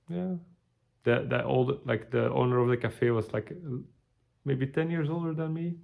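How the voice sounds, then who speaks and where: A tired man, giving a halting, casual video update with many restarts. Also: medium pitch, gravelly, a little mellow. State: tired, burned out. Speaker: vlogger.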